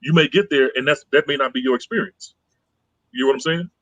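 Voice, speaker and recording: A man co-hosting a casual podcast chat, calm and conciliatory, rambling and repeating phrases as he explains. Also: fairly high pitch, fast, no room sound, lo-fi sound.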